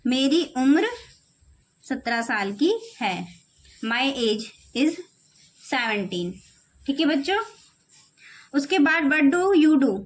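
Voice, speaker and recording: High-voiced woman teaching English slowly. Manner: slows down sharply to model English phrases word by word; repeats phrases and keeps saying "okay, children". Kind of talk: classroom-style lesson in Hindi.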